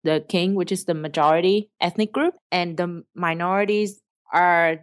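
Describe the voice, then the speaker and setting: A woman's voice: lowish voice, fairly even intonation, moderate pace, dry recording, studio quality. A young woman from Vietnam with a Vietnamese accent, earnestly explaining her work at length in an interview. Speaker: startup cofounder guest. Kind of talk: interview answers.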